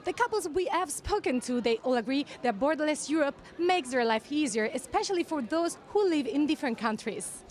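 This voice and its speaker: Female field correspondent, high voice, scripted outdoor news delivery. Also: animated, brisk. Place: outdoors in a city square.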